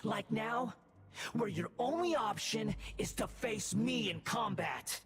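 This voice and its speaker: A man playing an arrogant young would-be god in a video game, declaiming with grandiose, slow contempt. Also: sits high, expressive, creaky, full character voice. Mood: haughty, mocking. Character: arrogant, theatrical young male would-be god, scornful villain. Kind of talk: dramatic video game voice acting. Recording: loud game music underneath. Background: game music.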